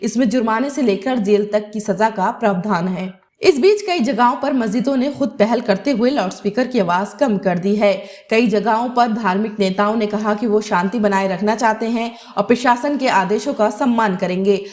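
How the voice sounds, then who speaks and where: A woman's voice: medium pitch, normal speed, darkish tone. A woman narrating a scripted news report as a news presenter.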